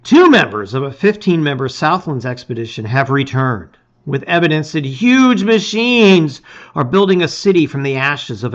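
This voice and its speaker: A middle-aged man with a mid-range voice hosts a read-aloud. He speaks expressively and leans on key words, then lets phrases fall away softly. The recording is a little dull and lo-fi. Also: mid-range voice. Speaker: host reading a gamebook aloud. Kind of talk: casual intro and dramatic read-aloud of storybook narration. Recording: slightly dull, lo-fi sound.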